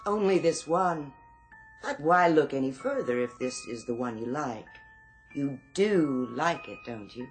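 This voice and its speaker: Low-voiced, slow, eerily courteous saleswoman. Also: animated, faint music behind. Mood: coaxing, knowing. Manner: measured, genteel, insinuating sales patter. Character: eerily courteous, knowing female department store saleswoman. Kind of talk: radio drama acting.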